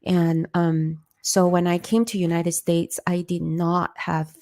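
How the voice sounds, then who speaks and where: Woman, from China, Chinese native speaker, low-ish pitch, earnest, conversational interview guest. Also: flat delivery, takes it slowly, dark tone. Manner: earnest, storytelling, non-native grammar. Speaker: interview guest, former candidate.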